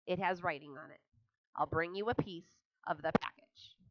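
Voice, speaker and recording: A woman's voice: lowish voice, normal speed, background noise, natural voice. A woman voicing a grumpy, sulky male barn rat who grumbles sarcastically. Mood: grumbling. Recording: dull lo-fi audiobook recording.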